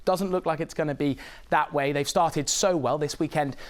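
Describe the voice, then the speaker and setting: A man reviewing football results in a brisk, analytical studio round-up. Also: a little high. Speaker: sports reporter. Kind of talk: sports round-up.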